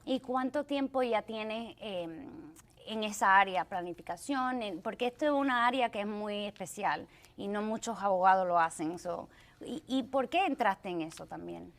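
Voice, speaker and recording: A woman's voice: medium pitch, normal speed. A woman hosting a talk show, asking her guest questions and sometimes fumbling for words. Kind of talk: hosting an interview.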